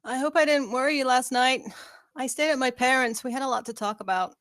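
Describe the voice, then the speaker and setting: A woman's voice: high voice, fairly quick, a bit bright, dry recording. A woman playing a troubled, guilt-ridden young woman who is earnest and determined, in an informal screenplay table read. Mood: relieved.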